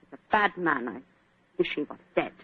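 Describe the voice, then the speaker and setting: Troubled young woman, husky, German-accented. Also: low-pitched, lively intonation. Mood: distressed. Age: young adult. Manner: formal, halting broken English. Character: troubled, earnest young Viennese woman pianist. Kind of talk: 1940s radio acting. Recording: muffled, worn 1940s radio recording.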